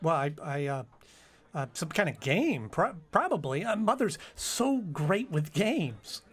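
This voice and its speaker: Man playing a flustered, husky, stammering young sidekick. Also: fairly high pitch, big pitch swings, a little slow, exaggerated voice. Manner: stammering, hesitant. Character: flustered, whiny grown son and detective's sidekick. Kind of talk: old-time radio drama acting.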